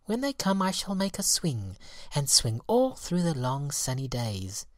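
A woman's voice: low voice, sing-song, unhurried, dry recording, studio quality. A woman voicing a small, stubborn and petulant lazy fairy for a storybook. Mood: idle, self-satisfied. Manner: petulant, calling out.

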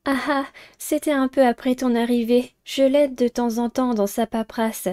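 A woman acts out a polite, helpful high-school girl from a dating game. Her voice is fairly high with a mellow tone. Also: steady tone, normal speed, natural voice. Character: polite, helpful teenage girl class delegate.

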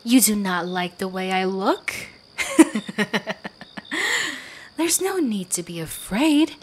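A woman's voice: mid-range voice, big pitch swings, takes it slowly, a little hiss or hum, voice pushed down. A woman doing a cackling werewolf girlfriend on the hunt. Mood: taunting. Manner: teasing, breathy whispers and cackling laughs. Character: possessive werewolf girlfriend hunting her lover. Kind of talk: ASMR roleplay.

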